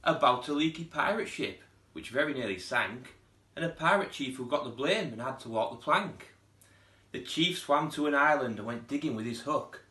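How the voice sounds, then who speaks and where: A man reads to young children like a schoolteacher, with expressive storytelling. His voice is bright and forward, at a middling pitch, and the room around him is noticeably echoey. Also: normal speed. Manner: expressive, putting on character voices. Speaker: primary school teacher reading to pupils. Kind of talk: children's storybook read-aloud.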